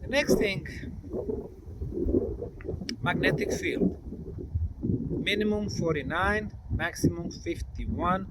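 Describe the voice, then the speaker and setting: A man presenting and explaining on location, speaking deliberately in short, emphatic phrases. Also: sits high, expressive. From Bosnia. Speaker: presenter and field researcher. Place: outdoors in an open field. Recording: outdoor recording with loud wind noise.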